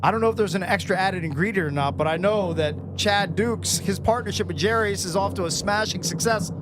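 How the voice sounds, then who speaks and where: A man's voice: high-pitched, fairly quick. An American man speaking as a sports radio host, bantering and plugging things, with noticeable background noise.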